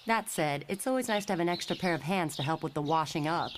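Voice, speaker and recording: An actress playing a wry, composed assistant at a superhero school in a motion comic, polite and dry. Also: female voice, low-pitched, fast talker, slight background noise, close to an everyday voice.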